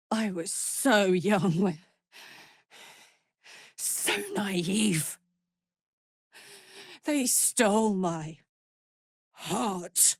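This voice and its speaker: A woman's voice: mid-range voice, no echo, studio quality, full character voice. A woman playing a tough, big-hearted, devil-touched warrior woman in a video game, with a blunt, earthy, sweary manner. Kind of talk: video game character voice acting.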